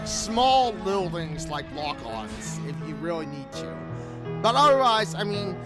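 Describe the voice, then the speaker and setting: A man talks casually as a gaming creator. He rambles through his review and returns to points he has made. Music plays clearly behind his voice. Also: high voice. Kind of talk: casual spoken game review commentary. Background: background music.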